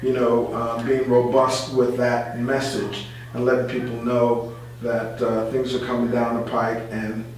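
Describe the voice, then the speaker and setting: A man's voice: medium pitch, completely flat delivery, measured pace. A man speaking as a community advocate guest in an informal radio discussion, explaining and repeating phrases to stress his points. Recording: very echoey room, distant mic, faint noise.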